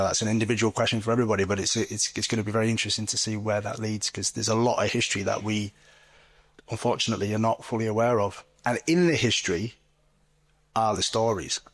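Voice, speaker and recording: A man speaking as an author on a festival panel, thinking aloud reflectively and often pausing with fillers. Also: fairly low pitch, a bit bright. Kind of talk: panel discussion.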